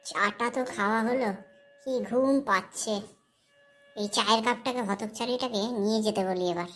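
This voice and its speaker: A woman plays a harsh cartoon aunt who loves ordering people about. Her voice sits on the high side. She talks in a nagging, domineering way, as one used to scolding a servant. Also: normal speed, a bit bright, faint music behind, natural voice. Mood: smug, lazy. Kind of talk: cartoon story dialogue.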